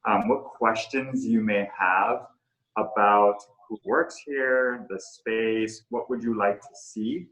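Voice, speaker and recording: A middle-aged man, the co-founder of a coworking space, talking casually and haltingly with many fillers and restarts. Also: fairly low pitch, fairly even intonation, fairly bright tone. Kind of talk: casual talk on a virtual tour. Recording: echoey room.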